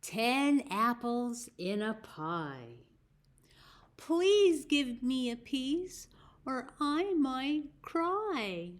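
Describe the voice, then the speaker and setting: Slow-paced American woman, children's storyteller. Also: high voice, big pitch swings. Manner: slow, deliberate phrasing with questions to young listeners. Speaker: library story time host.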